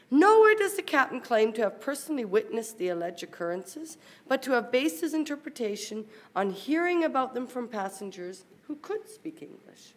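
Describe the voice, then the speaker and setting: A woman speaks as a conference presenter, reading a formal paper aloud. Her voice sits a little high, and she moves through long sentences in a measured, carefully articulated way. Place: university conference talk.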